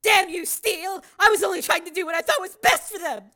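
A frantic, estranged mother stumbling over her words, close to tears and quick to turn defensive, as a woman acts her out. Her voice sits high and bright. Rendered as a dramatized audiobook. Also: exaggerated voice. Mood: distraught. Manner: anxious, halting, tearful.

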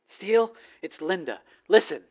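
A man's voice: high-pitched, lively intonation, measured pace, pitched above the performer's own voice. A man voicing a determined female TV news reporter in an audiobook, earnest and insistent in manner. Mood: apologetic, frustrated.

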